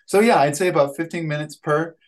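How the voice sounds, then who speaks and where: A man in his thirties answering interview questions on a video call, with halting phrasing and repeated words. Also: a little high. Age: 30s. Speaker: guest, playwright and actor.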